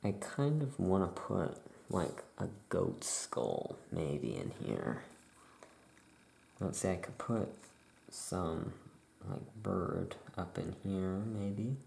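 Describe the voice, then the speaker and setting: A soft-spoken ASMR host murmuring thoughts aloud in halting short phrases, close to the microphone, while writing. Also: low-pitched, very animated, vocal fry, a little hiss or hum. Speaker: ASMR host playing a witch shopkeeper.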